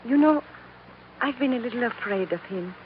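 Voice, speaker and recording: An actress playing a genteel, confiding young romantic heroine in an old radio drama, with a soft manner. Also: female voice, fairly high pitch, lively intonation, moderate pace, natural voice. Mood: confiding, tender. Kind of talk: 1940s radio drama acting. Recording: muffled old radio recording, faint hiss.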